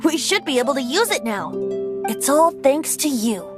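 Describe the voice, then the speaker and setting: A woman's voice: high-pitched, big pitch swings, normal speed, music in the background. A woman voicing a playful, whimsical young bard boy in a video game, with a lilting theatrical delivery. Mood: thoughtful.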